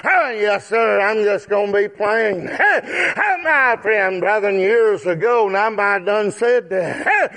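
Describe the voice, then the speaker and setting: A man preaching a revival sermon, chanting in a rhythmic cadence and punctuating every phrase with a gasped "huh," often breaking into shouts. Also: sits high, slow pace, creaky, few pauses. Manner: rhythmic gasping "huh" after each phrase; old-time tuning holler, shouts rising.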